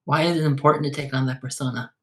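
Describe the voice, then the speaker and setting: A man hosting an interview, asking calm, brief questions. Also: medium pitch, rapid-fire. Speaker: interview host.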